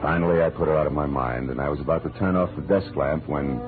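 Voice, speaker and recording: An actor playing a wry, world-weary American private detective in 1940s radio noir, with sardonic, slangy narration. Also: male voice, extremely low pitch, fast talker. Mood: weary, reflective. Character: wry, hard-boiled male private detective, narrating his cases. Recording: muffled vintage radio recording, music behind.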